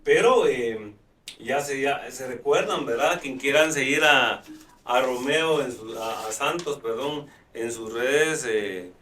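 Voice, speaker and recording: A man's voice: mid-range voice, takes it slowly, a bit bright, a little hiss or hum. A man hosting a live talk show, chatting with many fillers and restarts. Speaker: host. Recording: roomy, distant mic.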